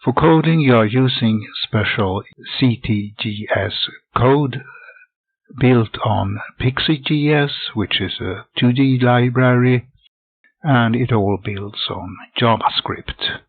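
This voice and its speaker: An older man talks slowly and methodically through a software tutorial, his voice gravelly and husky. The recording is dry and tinny, like a phone line. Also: mid-range voice. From Sweden. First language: Swedish. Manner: methodical, plain step-by-step explaining. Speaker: hobby game developer.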